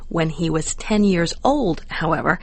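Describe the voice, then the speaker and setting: Slow, careful American woman narrator. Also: low-pitched, lively intonation. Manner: measured, careful enunciation. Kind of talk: slow scripted educational narration for English learners.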